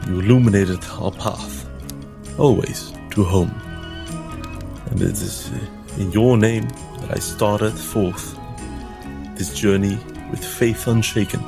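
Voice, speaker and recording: Man playing a hesitant, troubled priest, slow-spoken. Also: lowish voice, fairly even intonation, gravelly, over music. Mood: solemn, reverent. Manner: hesitant, stammering, earnest.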